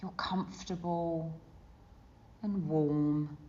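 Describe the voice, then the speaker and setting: A woman leading a guided meditation as a teacher, gentle and soothing, with unhurried phrases and long pauses. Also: deep voice, fairly even intonation. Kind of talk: guided body scan meditation. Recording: dull, muffled lo-fi sound.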